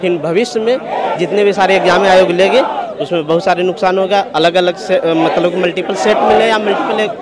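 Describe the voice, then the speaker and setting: A man's voice: high voice, a little fast. Male student in a noisy crowd. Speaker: student protester. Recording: loud crowd and street noise.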